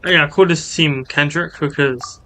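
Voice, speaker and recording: A man's voice: a little high, steady tone, measured pace, sharp tone, slight background noise. A man streaming a game, chatting casually as he plays. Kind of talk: casual live-stream chat while gaming. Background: game music.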